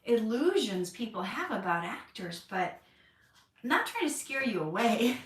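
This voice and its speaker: A woman's voice: fairly high pitch, a bit bright, distant mic. An actress chatting candidly in a casual Q&A, laughing easily as she answers questions. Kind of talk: casual livestream Q&A chat. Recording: roomy echo, voice from off-mic.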